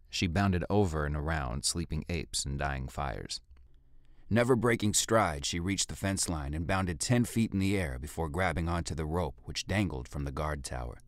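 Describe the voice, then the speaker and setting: A man's voice: extremely low pitch, no room sound, clean studio sound. A man narrating fiction in a dramatic scripted audiobook style.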